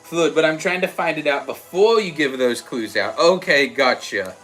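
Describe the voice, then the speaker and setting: A young man with an Australian accent softened by American, chatting casually and rambling a little as he hosts a livestream and answers comments. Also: a little high, lively intonation, normal speed. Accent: Australian with some American. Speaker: livestream host. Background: background music.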